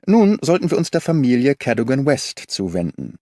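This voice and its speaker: A man reading the part of a calm, analytical detective in an audiobook, measured and probing, dry recording. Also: mid-range voice, no echo. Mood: musing.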